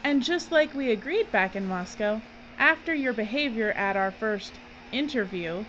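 A woman's voice: fairly high pitch, expressive, normal speed, natural voice. Woman acting a bossy director, muffled audio. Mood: cool, guarded. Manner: bossy, wry. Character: brisk, sardonic, no-nonsense female film director. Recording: dull, slightly noisy recording.

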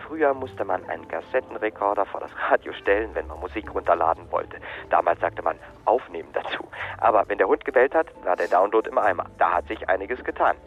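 Male call-centre agent for radio drama: rapid, oily-polite patter, never takes no for an answer, slightly muffled sound. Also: low-pitched, expressive. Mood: eager, insistent. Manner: unctuous, formulaic sales patter, insistent. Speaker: customer service agent. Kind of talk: radio drama acting, scripted phone dialogue.

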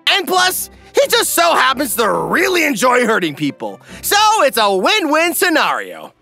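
A man narrates a comedic recap with wry, theatrical emphasis. His high, forceful voice swoops dramatically across a very wide range, with music behind it. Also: wildly swooping pitch, moderate pace, vocal fry.

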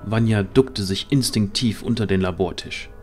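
A man with a fairly low voice tells a scripted fantasy tale as its narrator. Music plays underneath his dramatic storytelling. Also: normal speed, a little mellow, husky.

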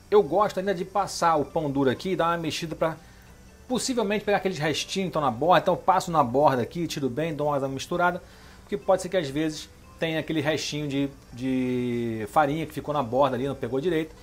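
A man's voice: on the high side, quick pace. A middle-aged man hosting a home cooking show, chatting casually as he demonstrates a recipe in his kitchen and checking in with the viewer. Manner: chatty, keeps asking "beleza?" and "tá?".

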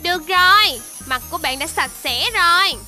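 A woman's voice: very high voice, lively intonation, moderate pace, sharp tone, faint music behind, full character voice. A woman voicing a cheerful, caring little shark doctor in a children's cartoon, encouraging and exclamatory as she talks to young viewers. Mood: cheerful, reassuring.

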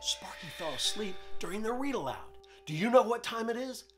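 A man's voice: sits high, lively intonation, a little fast, a bit bright, faint music behind. Middle-aged man, kids' show host. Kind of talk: children's show presenting and bedtime story read-aloud.

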